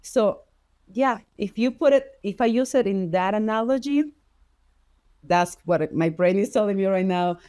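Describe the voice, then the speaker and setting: A woman with a Spanish accent, a native Spanish speaker, telling personal stories as a podcast guest in an expressive, heartfelt way. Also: mid-range voice, normal speed, no echo, studio quality. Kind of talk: personal storytelling in conversation.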